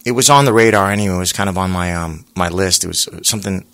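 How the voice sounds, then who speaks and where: A singer being interviewed, talking in a relaxed, rambling way with lots of pauses and fillers. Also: male voice, low-pitched. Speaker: singer guest. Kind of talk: casual interview chat.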